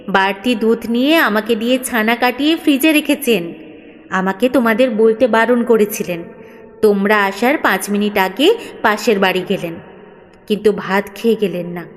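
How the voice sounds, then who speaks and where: A woman's voice: fairly high pitch, big-room sound, lightly acted. A woman storyteller voicing a cheerful, respectful female household cook who talks in an eager, chatty way.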